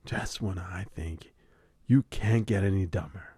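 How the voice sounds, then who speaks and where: A man with a lowish voice hosts a loose, casual movie chat, laughing often and swearing freely as he retells scenes. The recording is dry and close, with no room sound around him. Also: measured pace, dark tone, breathy edge, no room sound. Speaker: podcast host.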